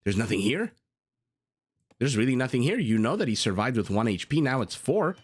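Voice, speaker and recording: A man with a raspy voice casting a strategy game match in an excitable, chatty way, full of asides and exclamations, into a desktop condenser mic. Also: medium pitch, brisk. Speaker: game caster. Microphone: desktop USB condenser mic.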